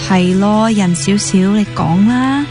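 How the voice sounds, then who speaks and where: Radio drama part: nosy, teasing young woman dancer, Hong Kong Cantonese accent, average pitch, noticeable music underneath. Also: slow. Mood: playful, teasing. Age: young adult. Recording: music playing behind the dialogue.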